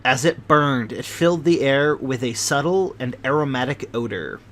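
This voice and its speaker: Man narrating a classic novel, mid pitch. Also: lively intonation, moderate pace, a bit bright, slight background noise. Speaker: audiobook reader.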